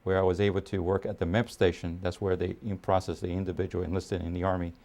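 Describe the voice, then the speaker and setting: Deep, flat-voiced man in his fifties. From Puerto Rico, raised in New York City. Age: 50s. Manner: measured, with frequent pauses and fillers. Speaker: army officer. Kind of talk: documentary interview.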